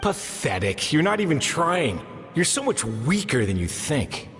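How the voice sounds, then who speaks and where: Brief: a sneering inner voice, lively swooping delivery, hall echo. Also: male voice, a little high, unhurried, faint music behind. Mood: scornful. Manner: contemptuous. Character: scornful male inner voice. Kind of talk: game voice acting.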